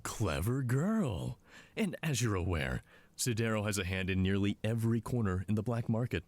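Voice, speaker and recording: An actor playing a smug, condescending intelligence commander in a scripted drama, talking down to people in a mocking way. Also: male voice, fairly low pitch, very animated, moderate pace, a little mellow, no echo. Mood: smug, calculating. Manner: condescending, mocking, drawling asides.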